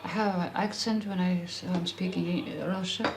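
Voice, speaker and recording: A woman doctor giving a documentary interview, speaking slowly and haltingly in a second language. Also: on the low side, fairly even intonation. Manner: slow, halting, searching for words in a second language. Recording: noisy, roomy old documentary film sound.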